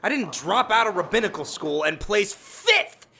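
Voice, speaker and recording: An actor playing a touchy, boastful 1950s bowling gambler in a radio drama, excitable and quick to take offense. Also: male voice, high-pitched, slight background noise, exaggerated voice. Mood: indignant. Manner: excitable, indignant.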